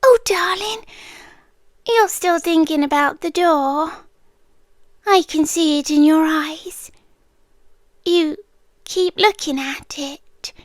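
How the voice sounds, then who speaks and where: A woman's voice: high voice, slow pace, pauses often, no echo. A British woman voicing a possessive, tender but menacing winged monster girl in an ASMR roleplay, cooing breathily in half-whispers close to the microphone. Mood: delighted, coaxing.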